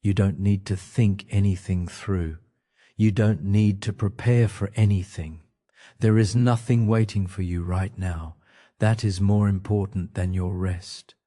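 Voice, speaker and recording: A man guiding a sleep meditation in a calm, gentle, soothing manner, speaking in short phrases with pauses. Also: fairly low pitch. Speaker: meditation guide.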